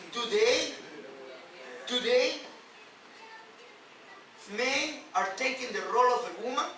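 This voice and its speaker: A man who is a non-native English speaker, a rabbi giving an emphatic sermon in halting phrases with repeats and rhetorical questions. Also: very high-pitched, sharp tone. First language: not English. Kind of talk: sermon on scripture. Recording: distant, very echoey, noisy room recording.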